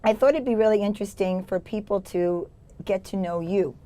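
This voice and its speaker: A woman's voice: medium pitch, fairly quick, mellow. A middle-aged woman hosting an interview, often stammering as she starts her sentences. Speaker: podcast host and event planner.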